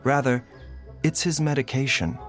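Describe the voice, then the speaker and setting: An actor playing a gentle, composed physician in a video game, speaking formally and precisely in a grave, measured way. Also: male voice, medium pitch, steady tone, soft background music, close to an everyday voice. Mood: calm, explanatory. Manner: formal, precise, unhurried diction. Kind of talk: dramatic video game dialogue.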